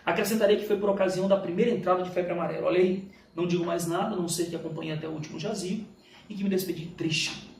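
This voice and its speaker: High-voiced Brazilian male teacher, echoey room. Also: steady tone, very fast, vocal fry, a little mellow. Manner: emphatic, didactic, asks and answers own questions; informal, slangy. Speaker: literature teacher. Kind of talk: live lesson, walking through exam questions. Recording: echoey room, distant mic, faint noise.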